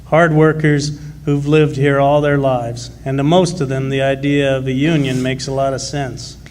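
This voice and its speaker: A man plays a gruff union miner in a radio play, speaking in folksy working-class phrases. His pitch stays level and narrow, proud and plainspoken. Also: little pitch movement, moderate pace, darkish tone, close to an everyday voice. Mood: calm, reasonable. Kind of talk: live original radio drama.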